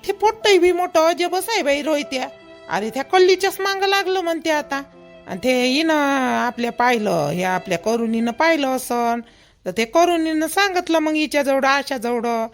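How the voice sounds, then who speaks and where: Woman playing a high-voiced, gossipy Vidarbha village mother. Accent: Vidarbha Marathi. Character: gossipy, fretting village mother of a grown son. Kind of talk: homemade cartoon family dialogue.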